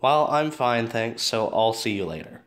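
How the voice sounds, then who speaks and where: A man's voice: medium pitch, lively intonation, normal speed, a bit bright, a little hiss or hum, lightly acted. A man playing a dry, principled teenage boyfriend in an amateur audio drama. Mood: curt.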